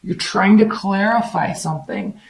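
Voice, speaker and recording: A tarot reader giving a casual love reading, the voice raspy and hoarse from overuse, with a blunt, emphatic pep-talk delivery. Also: medium pitch, normal speed, slight background noise.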